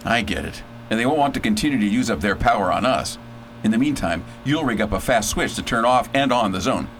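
A man's voice: fairly low pitch, expressive, a little fast, fairly bright tone, slight background noise. A man playing a breezy, decisive space adventurer who leads in slangy, commanding talk in a radio drama. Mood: calmer.

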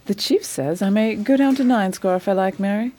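A woman's voice: medium pitch, fairly quick, dark tone, lightly acted. A woman playing an eager, commanding lady detective in a radio drama, refined and purposeful. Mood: excited, determined. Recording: faint background noise.

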